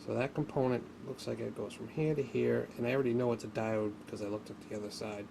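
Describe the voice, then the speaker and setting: A man giving an unscripted hands-on tutorial, speaking haltingly as he thinks aloud while working, as a hobbyist would. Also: mid-range voice, steady tone, vocal fry. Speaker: hobbyist demonstrating an electronics repair. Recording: noticeable background noise.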